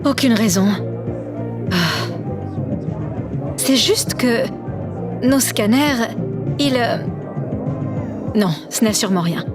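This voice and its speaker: A woman's voice: a little high, slow pace, pauses often, music in the background. A woman playing a stern, sardonic investigator in an audio drama, restrained and probing. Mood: guarded. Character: stern, sardonic female investigator, quietly worried.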